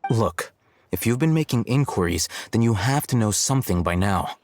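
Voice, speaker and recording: A man playing an aloof, shrewd young scholar in a video game, speaking in a measured, dry, articulate and condescending way. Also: lowish voice, animated, darkish tone, lightly acted. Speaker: game character voice. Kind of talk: scripted game cutscene dialogue. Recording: music behind the voice.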